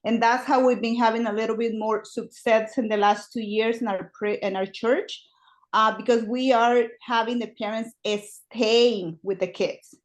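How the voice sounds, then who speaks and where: A woman's voice: fairly high pitch, steady tone, normal speed. A woman from Mexico whose first language is Spanish, speaking English with a Mexican Spanish accent in an earnest, casual way on a video call, with some grammar slips. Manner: earnest, fillers like "um" and "like", non-native grammar slips. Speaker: parish religious education worker. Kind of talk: online meeting discussion.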